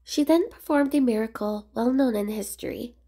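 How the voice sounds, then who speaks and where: Mellow, higher-voiced woman reading a storybook. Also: normal speed. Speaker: host reading a children's picture book aloud. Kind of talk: storybook read-aloud narration of rhyming verse.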